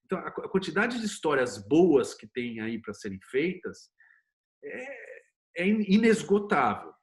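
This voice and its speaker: Brazilian male interview guest, somewhat higher-pitched, informal and emphatic in casual conversation. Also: sing-song, a little slow. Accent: Brazilian Portuguese. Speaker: interview guest, TV producer and screenwriter.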